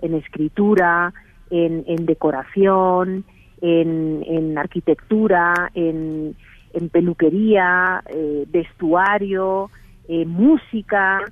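A middle-aged woman with a Spain Spanish accent answers questions over the phone. Her delivery is earnest and measured, often restarting a phrase before she continues. The sound is thin and tinny, like a phone line, and dry. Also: lowish voice, steady tone, dry recording. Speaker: guest, TV production company head. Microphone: telephone.

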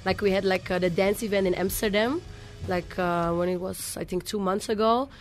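A woman's voice: mid-range voice, steady tone, moderate pace, faint music behind. A young woman from Belgium, a native Dutch speaker with a Dutch accent, chatting casually as an interview guest. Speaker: interview guest, model and musician. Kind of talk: casual chat.

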